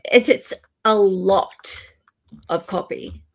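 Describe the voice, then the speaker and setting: A woman's voice: mid-range voice, slow pace, creaky. A woman with an Australian accent hosting a live show in a casual, chatty and playful way. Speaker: host of a live commentary show. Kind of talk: casual live chat commentary.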